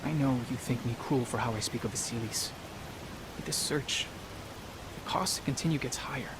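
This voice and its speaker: An actor playing a stern, skeptical teenage Spartan brother in a video game, blunt and serious. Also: male voice, medium pitch, normal speed, heavy background noise, lightly acted. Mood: stern, doubting. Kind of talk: cinematic video game acting. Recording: music behind the voice.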